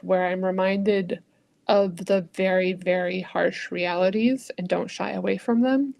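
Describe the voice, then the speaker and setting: A woman minister speaking in a thoughtful, searching way, often trailing off softly at the ends of phrases. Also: mid-range voice, dry recording. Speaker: Unitarian Universalist minister. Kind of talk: reflective conversational talk.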